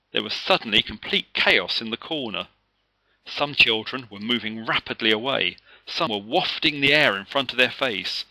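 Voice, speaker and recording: A man narrates a children's story expressively. His middle-pitched voice is very bright and crisp-edged, moving in lively swings, recorded dry and close. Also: no room sound, poor audio. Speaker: author reading his own book.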